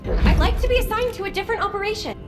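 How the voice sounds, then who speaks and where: A woman playing a spirited young female soldier in a video game, stubborn yet vulnerable, with expressive emotional delivery. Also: very high voice, rapid-fire, over music. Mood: hurt, defiant. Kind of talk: video game cutscene acting.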